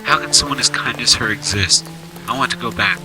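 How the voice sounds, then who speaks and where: A soft-hearted, thoughtful teenage boy, played by a man in an amateur radio drama. His mid-pitched voice is earnest and reflective. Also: a little fast, over music, close to an everyday voice, low-quality recording. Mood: reflective, worried. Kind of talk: amateur anime-style radio drama acting.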